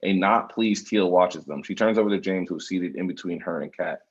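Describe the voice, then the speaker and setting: A man's voice: low-pitched, moderate pace, slightly rough, dull audio. A man reading stage directions as scripted narration for a screenplay table read.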